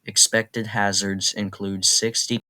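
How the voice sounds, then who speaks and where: A man's voice: lowish voice, slow pace. A synthetic-sounding man reading an automated weather radio bulletin in an impersonal, mechanical way. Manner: flat, impersonal, synthetic delivery.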